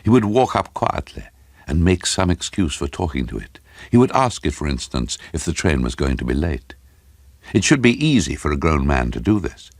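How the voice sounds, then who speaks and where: Male narrator for radio ghost story. Low-pitched, suspenseful, deliberate; close, dry sound. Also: big pitch swings, no room sound.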